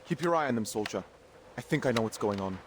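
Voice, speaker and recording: An actor playing a world-weary German army captain in a war game, cynical and gruff as he commands. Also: mid-range voice, lively intonation, quick pace, a little hiss or hum, lightly acted. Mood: cynical, commanding.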